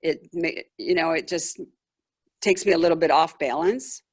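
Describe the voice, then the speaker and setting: A woman speaking as a patient, describing symptoms conversationally and haltingly on a video call, searching for words. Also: sits low, slightly rough. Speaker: patient asking a doctor questions.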